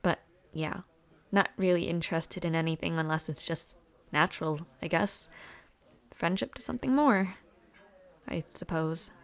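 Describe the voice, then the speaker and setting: Woman playing a creaky-voiced, friendly college girl. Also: on the low side, normal speed, pauses often, natural voice. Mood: candid, reflective. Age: young adult. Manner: casual, hesitant, giggly, trailing afterthoughts. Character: friendly, chatty young female university student and dormmate. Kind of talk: intimate audio roleplay, casual one-sided conversation.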